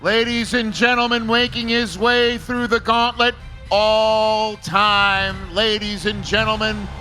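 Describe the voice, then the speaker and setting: A man making a drawn-out ceremonial introduction as an event announcer. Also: high-pitched, flat delivery. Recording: event announcement under loud music. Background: loud music.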